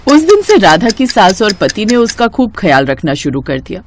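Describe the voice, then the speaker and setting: A woman's voice: mid-range voice, sing-song, moderate pace, slight background noise. A woman reading a scripted devotional story as its storyteller. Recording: music behind the voice. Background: background music.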